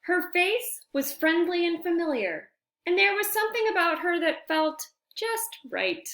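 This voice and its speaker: Slow, animated woman reading a storybook aloud. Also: very high-pitched, echoey room. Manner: deliberate, animated phrasing with friendly asides to listeners. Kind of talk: expressive read-aloud storytelling for young children.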